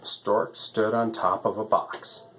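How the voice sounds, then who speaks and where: A man's voice: fairly low pitch, steady tone, slow, a bit bright, slight background noise. A man narrating a children's story as a storyteller.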